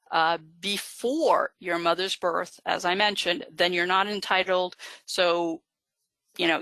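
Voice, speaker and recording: Woman lecturer, lowish pitch, bright tone, dry close sound, steady explanatory pace with fillers. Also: vocal fry, dry recording, rough recording. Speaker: genealogist presenter. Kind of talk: live webinar lecture.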